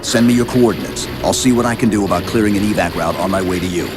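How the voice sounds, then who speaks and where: An actor playing a stoic, commanding armored super-soldier in a video game, speaking tersely. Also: male voice, deep voice, fast talker, over loud music, lightly acted. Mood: firm, commanding. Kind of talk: video game cinematic acting.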